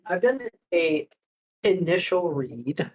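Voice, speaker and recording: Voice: medium pitch, sing-song, poor audio. A player in a tabletop game, speaking hesitantly. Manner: slow, hesitant. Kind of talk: casual game table chat.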